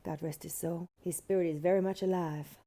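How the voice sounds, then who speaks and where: A woman's voice: lowish voice, fairly even intonation, fairly quick, warm tone. An actress playing a blunt, widowed Wild West landlady with a folksy drawl. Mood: gruff. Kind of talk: audio drama acting.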